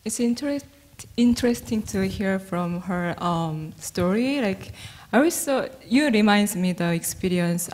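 A woman from Korea speaking English with a Korean accent as a panelist, measured, with frequent "uh" and "um" pauses, into a handheld mic. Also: mid-range voice, darkish tone. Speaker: panelist artist. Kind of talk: panel discussion. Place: stage of a museum auditorium.

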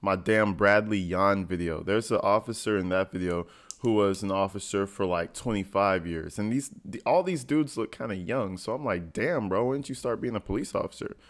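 Low-pitched young American man, slangy. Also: normal speed. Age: young adult. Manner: slangy, profane, conversational. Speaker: reaction video host. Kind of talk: casual reaction commentary. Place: small indoor home room, gaming chair at desk.

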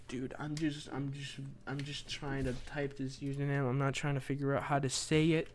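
A young man giving casual, rambling commentary while he plays a game live, excitable and joking at his own expense. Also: a little high, a little hiss or hum. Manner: excitable, rambling, self-mocking, quick laughs. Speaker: streamer.

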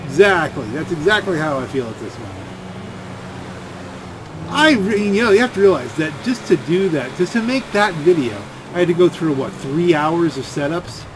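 A man giving rambling, complaining gameplay commentary, halting and repeating his words as he goes. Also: on the high side, lively intonation. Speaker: gaming video creator. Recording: game and engine noise behind voice.

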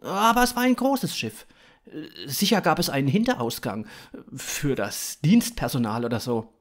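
A man in his thirties narrating scripted fiction in a dramatic, expressive way. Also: a little high, normal speed.

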